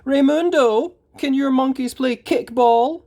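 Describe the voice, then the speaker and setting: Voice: high-pitched, unhurried, creaky, mellow, voice pushed up. A storyteller voicing an upbeat young boy team leader in a children's story, rallying and confident. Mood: eager.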